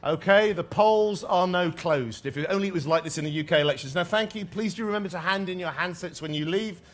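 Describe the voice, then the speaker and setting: Host, male, slightly higher voice, witty and dry, addressing a large live audience. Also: brisk, a bit bright. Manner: dry, witty, playful asides. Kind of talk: live event hosting.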